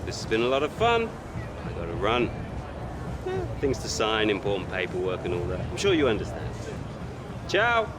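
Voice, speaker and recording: A man's voice: mid-range voice, noisy background, close to an everyday voice. An actor playing an arrogant young male racing driver in a video game cutscene, sarcastic and condescending. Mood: sarcastic, dismissive. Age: young adult.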